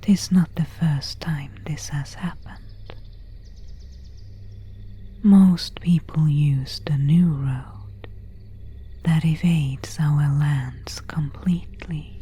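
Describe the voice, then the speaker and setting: A woman's voice: deep voice, drawn-out words, long pauses, mellow, heavily acted. A woman playing an elegant, seductive vampire of an ancient family in a whispered ASMR roleplay, speaking intimately in formal, archaic phrases. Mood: composed, eerie. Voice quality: breathy, unvoiced whisper.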